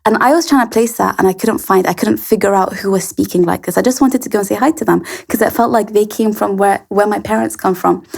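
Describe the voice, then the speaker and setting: A young woman leads a relaxed interview and slips easily into long personal stories, dotted with ums. Her voice sits in a middle range with a lot of vocal fry, and it stays conversational and easygoing. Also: medium pitch. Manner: chatty, storytelling, frequent fillers.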